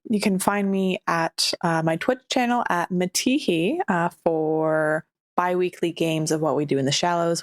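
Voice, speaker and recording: Lower-voiced woman on a podcast. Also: no room sound, studio quality. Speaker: podcast cast member. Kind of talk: casual chat, plugging own shows.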